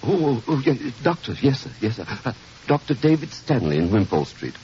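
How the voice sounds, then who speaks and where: A muffled, faintly noisy radio drama recording holds a man playing a terrified butler. He is deferential and stammering, his pitch moving restlessly as he speaks. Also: sing-song, fairly quick, mellow, natural voice. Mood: flustered. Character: frightened, servile, stammering male butler. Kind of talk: old-time radio drama acting.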